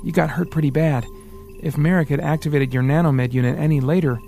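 A guilt-ridden young man from a spoken storybook, voiced by a male reader who lifts his voice well above his own. He sounds strained and trembling, pleading, with soft music underneath. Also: on the high side, fairly even intonation, a little fast, gravelly, pitched above the performer's own voice. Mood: urgent.

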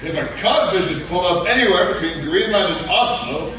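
High-voiced, pompous gentleman adventurer. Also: lively intonation, a little fast. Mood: matter-of-fact. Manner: pompous, grandly earnest. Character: pompous, oblivious, self-styled heroic gentleman adventurer. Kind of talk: comic radio theatre acting. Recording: echoey noisy hall, distant mic.

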